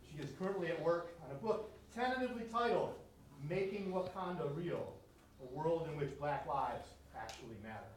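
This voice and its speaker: A man introduces a guest speaker to a lecture audience, listing her credentials with clear admiration. His voice sits somewhat high for a man and comes from well back in a roomy, echoing hall. Faint room noise sits underneath. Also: lively intonation, normal speed, far from the mic. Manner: admiring, reads a list of credentials. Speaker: event host introducing a speaker. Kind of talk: prepared introduction speech.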